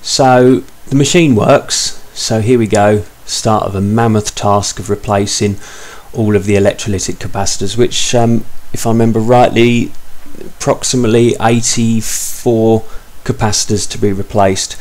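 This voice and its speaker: A man's voice: fairly low pitch, fairly even intonation, normal speed, few pauses, a little mellow. A man in his thirties giving an informal, step-by-step repair commentary as a hobbyist, explaining things a little hesitantly.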